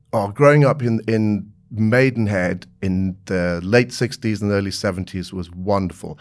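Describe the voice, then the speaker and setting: A man around sixty, born in South Africa, talking openly as an interview guest, laughing easily and restarting his sentences. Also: fairly low pitch. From born in South Africa, raised in the United Kingdom. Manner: friendly and open, laughs easily, with frequent fillers and restarts. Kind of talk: candid conversational interview.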